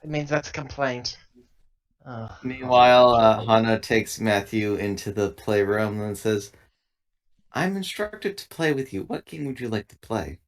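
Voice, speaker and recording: A man playing a tabletop roleplaying game with casual, joking narration and character dialogue in a halting, dry manner. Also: on the low side, animated.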